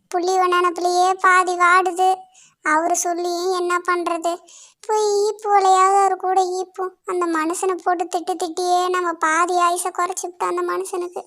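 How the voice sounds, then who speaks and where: A performer voicing a hard-up, grumbling village mother in an animated story, in colloquial speech. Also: very high-pitched. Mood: weary, rueful. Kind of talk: cartoon dialogue in colloquial rural speech.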